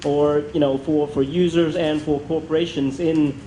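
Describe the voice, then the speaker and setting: A man's voice: a little high, level tone, moderate pace, dark tone. Hesitant male moderator in echoey room. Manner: hesitant, rambling, repeats words. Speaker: panel moderator. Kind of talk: moderating a conference discussion. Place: multi-purpose function room at a conference. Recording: echoey function room, faint background noise.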